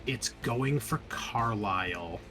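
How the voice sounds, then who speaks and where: A man's voice: lowish voice, takes it slowly, pushed and bright. A man working as game master, narrating a tabletop roleplaying game and explaining the rules in an informal, sweary way. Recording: music playing behind the voice. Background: background music.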